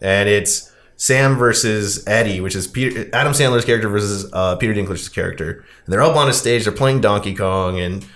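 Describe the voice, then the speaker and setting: A man's voice: on the low side, fairly quick, few pauses, fairly bright tone. A man hosting a casual movie discussion, recapping in a conversational way with frequent asides. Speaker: podcast host.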